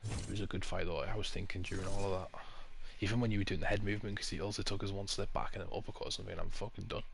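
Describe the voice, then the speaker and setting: A man's voice: sits low, flat delivery, rapid-fire, creaky. A young man hosting a live game stream, giving chatty play-by-play and coaching opponents, swearing now and then. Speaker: streamer host. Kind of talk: casual live gameplay commentary. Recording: noisy stream audio with controller clicks.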